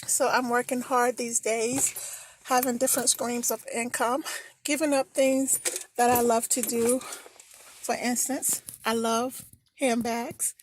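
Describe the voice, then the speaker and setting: Slow, high-voiced encouraging woman vlogger. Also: flat delivery, a little hiss or hum, low-quality recording. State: slightly hoarse. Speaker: motivational vlogger. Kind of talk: encouraging casual vlog talk. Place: filmed on errands, at a bank.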